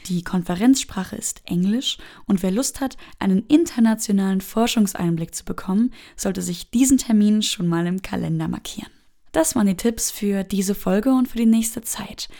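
A woman hosts a campus podcast and reads her event tips from a script. Her voice is low-toned and mellow, with some vocal fry. She sounds upbeat and reads clearly. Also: normal speed. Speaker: podcast host.

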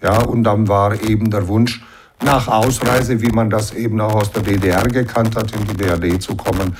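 A middle-aged man from the Banat in western Romania, answering interview questions in a measured, explanatory way with frequent fillers. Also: fairly low pitch, fairly even intonation, background noise. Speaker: interview guest.

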